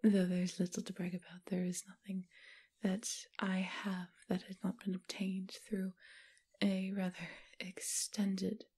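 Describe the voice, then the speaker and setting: Woman doing a refined lady vampire for asmr, super whispery and breathy, slow, doting and teasing. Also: medium pitch, completely flat delivery, mellow. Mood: wry, confiding. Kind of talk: ASMR comfort roleplay.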